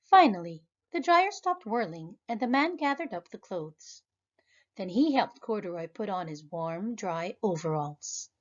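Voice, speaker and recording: Animated woman reading a storybook. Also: measured pace, muffled recording. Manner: animated, high voices for characters. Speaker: preschool teacher reading aloud. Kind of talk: children's storybook read-aloud.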